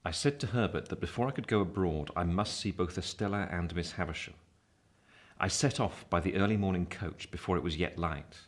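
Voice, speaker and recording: An actor narrating in the first person as an earnest English gentleman looking back on his life in a radio play. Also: low-pitched, a little fast. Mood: sober recollection.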